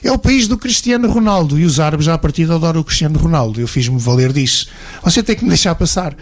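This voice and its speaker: A man's voice: on the high side, animated, quick pace. A man with a European Portuguese accent telling travel anecdotes in detail as an interview guest. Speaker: interview guest, martial arts instructor. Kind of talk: conversational travel storytelling.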